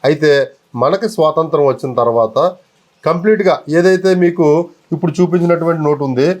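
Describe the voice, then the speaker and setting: Higher-pitched man explaining. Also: brisk, darkish tone. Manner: explanatory, polite, repeats phrases. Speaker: guest currency collector showing old notes. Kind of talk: explanatory interview talk.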